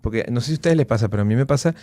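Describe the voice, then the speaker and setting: A man in his forties hosts a relaxed conversational podcast. His voice sits at a middle pitch and he speaks effusively, with enthusiasm. The sound is dry, with little room around it. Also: very fast, no echo. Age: 40s. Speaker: podcast host. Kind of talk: casual conversational interview.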